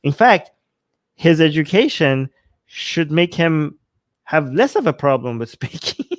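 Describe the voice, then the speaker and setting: A man's voice: fairly high pitch, very animated, measured pace. A man from Iran, a native Persian speaker, gives casual political commentary as a host, rambling and often rephrasing himself. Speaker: talk show host.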